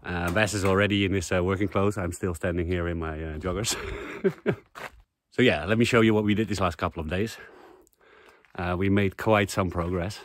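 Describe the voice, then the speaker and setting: A man chatting casually to a handheld camera outdoors on a village rooftop, relaxed and full of fillers. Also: low-pitched, moderate pace, a little hiss or hum. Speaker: vlogger renovating a house. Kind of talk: casual vlog narration. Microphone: handheld camera at arm's length.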